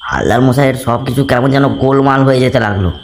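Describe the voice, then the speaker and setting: A man giving dramatic scripted narration of an animated ghost story. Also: mid-range voice, very fast, slight background noise.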